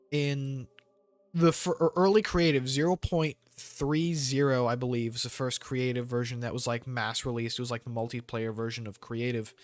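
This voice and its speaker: A man's voice: medium pitch, no room sound. A man giving casual, rambling gameplay commentary with jokey slang. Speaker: gamer hosting a let's play.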